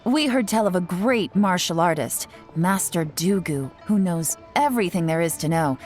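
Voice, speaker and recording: An actress playing a composed, cunning investigator in a video game, speaking in a polite, measured, coaxing way. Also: female voice, fairly low pitch, lively intonation, darkish tone, close to an everyday voice. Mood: flattering. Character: composed, cunning woman investigator slipping between cover identities. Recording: background music under voice. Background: game music.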